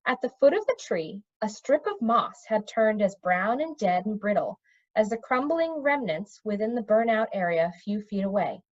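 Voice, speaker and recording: Woman, read-aloud narration, fantasy storybook, very lively pitch, slightly dull lo-fi sound. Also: moderate pace. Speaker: storybook reader.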